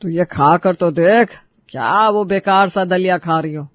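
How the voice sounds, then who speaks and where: Man acting a high-voiced, reasoning family man. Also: measured pace, no room sound, dull audio. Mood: coaxing. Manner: placating, reasoning. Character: reasonable, pleading men of an Indian household. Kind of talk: dramatised story voice acting.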